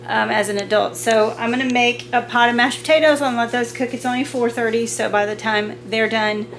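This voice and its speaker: A woman's voice: fairly high pitch, fairly even intonation, fairly quick, a bit bright, a little hiss or hum. A woman vlogger chatting casually about homemaking in a chatty, rambling way. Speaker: lifestyle vlogger. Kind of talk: casual homemaking vlog chat.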